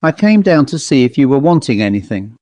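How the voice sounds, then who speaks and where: Manservant acted by a male narrator: secretive, polite, measured, mellow, dry close recording. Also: medium pitch, sing-song, dry recording, natural voice. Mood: deferential, uneasy. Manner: deferential, measured. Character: deferential, guarded male servant, secretive and polite. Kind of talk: audiobook character voice.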